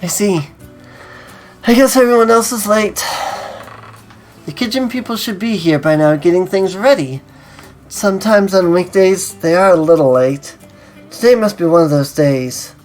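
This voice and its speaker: A woman's voice: fairly low pitch, normal speed, darkish tone, faint music behind. A woman reading a story aloud and breaking off for casual, conversational commentary. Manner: conversational asides. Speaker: host reading a visual novel aloud.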